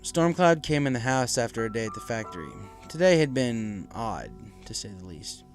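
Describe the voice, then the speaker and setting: A man's voice: medium pitch, animated, normal speed. Male reader for dramatic fiction narration, mid-range voice, music underneath. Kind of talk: dramatic scripted story narration.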